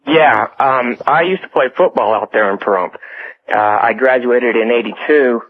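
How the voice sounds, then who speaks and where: A man's voice: medium pitch, moderate pace. American man on a tinny phone line. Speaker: phone-in caller. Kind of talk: casual personal storytelling. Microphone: telephone.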